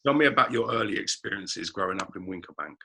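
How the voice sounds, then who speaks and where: A man hosting an interview, asking steady, leading questions about his guest's past. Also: low-pitched, fast talker, vocal fry, husky. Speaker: interviewer, host. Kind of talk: podcast interview.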